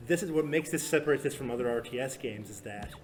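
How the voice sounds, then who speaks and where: A young man casually commentating a strategy game tournament, talking fast and loose with lots of fillers and laughs. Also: medium pitch. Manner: talkative, filler-heavy, laughs often. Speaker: tournament caster. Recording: music playing loudly behind.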